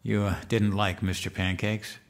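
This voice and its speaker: An actor playing a dim-witted, naive American president-elect in a comedic audio drama, with a hesitant, bumbling way of talking. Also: male voice, lowish voice, level tone, normal speed, natural voice.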